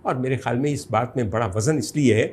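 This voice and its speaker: A man with a Pakistani accent hosting and telling anecdotes in a deliberate, emphatic, theatrical way. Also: mid-range voice, a little mellow, a little hiss or hum. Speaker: host and storyteller. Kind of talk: dramatic anecdotal storytelling.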